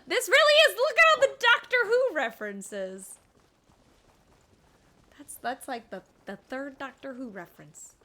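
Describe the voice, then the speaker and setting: A woman's voice: sits high, animated, unhurried, pushed and bright, a little hiss or hum. A woman giving casual, reactive live commentary as she streams a game. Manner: chatty, reactive.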